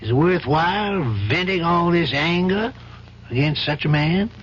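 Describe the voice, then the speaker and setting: An older judge in a vintage radio play, compassionate and paternal. His voice sits a little high, and he reasons in a measured, probing way. The recording is dull with faint noise. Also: lively intonation, fairly bright tone. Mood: earnest, admonishing. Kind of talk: old-time radio drama acting.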